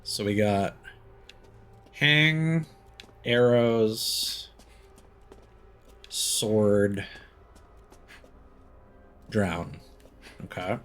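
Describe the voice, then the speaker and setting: A man's voice: on the low side, animated, very slow, pauses often, a bit bright. A man streaming a game with casual commentary, thinking aloud as he plays.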